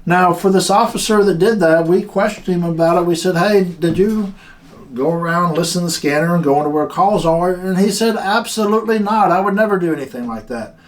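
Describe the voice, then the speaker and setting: A man's voice: high-pitched, brisk, rough recording. A man who runs a security company, telling a story from work and giving guards earnest, plain-spoken warnings. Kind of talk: casual instructional talk.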